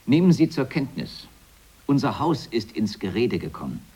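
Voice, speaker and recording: Stern raspy boss man, bossy and clipped, pitch moves a lot, radio drama. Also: very animated, moderate pace, dark tone. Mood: stern, reproachful. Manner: clipped, authoritative. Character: stern, imperious male media boss. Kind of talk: 1980s German radio drama acting.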